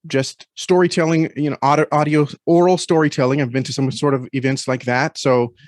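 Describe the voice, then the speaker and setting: A man's voice: a little high, steady tone, a little fast, no echo. A man talking casually as an interview guest, thoughtful and often searching for words. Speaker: podcast guest.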